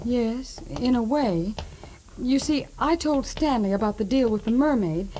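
Actress as high, mellow, earnest American young woman. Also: unhurried, lightly acted. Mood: earnest, confiding. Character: earnest, sweet young engaged woman in trouble. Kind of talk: 1940s radio drama acting. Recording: faintly noisy vintage 1940s radio recording.

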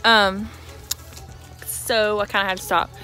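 A woman's voice: mid-range voice, level tone, measured pace, sharp tone, faint music behind. Chatty young woman vlogging outdoors. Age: young adult. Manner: chatty, informal, rambling. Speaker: equestrian vlogger. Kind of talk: casual vlog talk to viewers. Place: outdoors on a horse farm.

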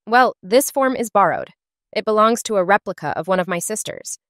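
A woman's voice: mid-range voice, expressive, a little fast, a bit bright, no room sound, clean studio sound, lightly acted. A woman voicing an ashamed, sorrowful warplane girl in a scripted drama, earnest and confessional. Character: ashamed, battle-scarred female warplane, sorrowful and self-loathing.

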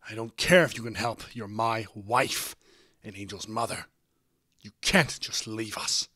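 A man voicing a protective adult pony father who pleads and shouts sternly. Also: lowish voice, extremely slow, no echo, studio quality. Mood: pleading.

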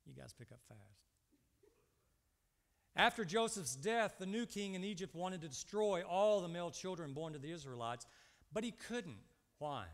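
A man's voice: on the high side, a bit bright. Man preaching in a reverberant hall. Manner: asks the congregation short rhetorical questions and answers them. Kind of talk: sermon. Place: indoor church stage at a lectern.

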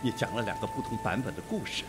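A man's voice: on the low side, animated, quick pace, loud music behind the voice, close to an everyday voice. A man playing a blunt, suspicious police detective in a Mandarin radio mystery, with a sarcastic manner. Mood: accusing.

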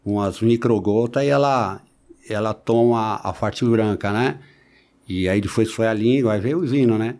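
A man in his forties with a Brazilian Portuguese accent, talking casually as a podcast guest into a close studio mic, haltingly, repeating words and adding little tags. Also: lowish voice, a little mellow. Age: 40s. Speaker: podcast guest, body-modification enthusiast. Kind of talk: casual conversational storytelling. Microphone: close studio microphone on boom arm.

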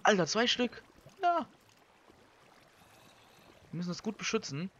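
A young man from Germany, giving casual Let's Play commentary and thinking out loud in colloquial language. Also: high-pitched, big pitch swings, unhurried. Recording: slightly dull, lo-fi microphone.

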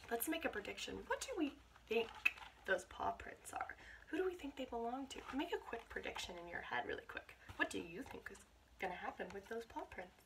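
An American woman in her twenties reads a picture book aloud to children with asides, in an expressive, encouraging manner. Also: a little high. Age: 20s. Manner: expressive, encouraging, addressing young listeners. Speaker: teacher reading aloud to children. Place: small bedroom indoors. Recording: clear background noise, home camera microphone.

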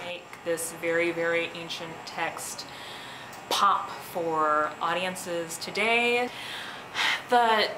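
A woman's voice: fairly low pitch, fairly even intonation, very slow. A woman, a theatre director, explaining a play in an interview. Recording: echoey room, clear background noise.

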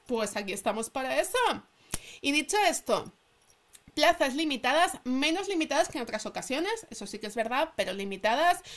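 A woman with a Castilian Spanish accent speaks in a very high voice. She gives an informal, chatty announcement as an online host. Her delivery is emphatic, with questions she answers herself. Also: a bit bright. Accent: Spanish from Spain. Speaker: tarot reader and channel host. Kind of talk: casual chatty announcement to followers. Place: indoors at a table.

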